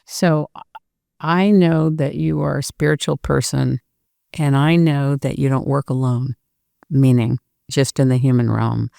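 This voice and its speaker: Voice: mid-range voice, fairly even intonation, unhurried, vocal fry, dark tone, no room sound, clean studio sound. An interviewer asking questions and speaking admiringly in long flowing sentences during a conversation.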